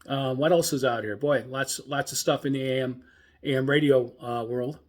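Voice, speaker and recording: A man with a mid-pitched voice hosts an informal show for radio hobbyists. He talks off the cuff in a casual monologue. His delivery is halting, with frequent ums and uhs as he searches for the next thing to say. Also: steady tone. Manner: hesitant, halting, frequent long filler pauses.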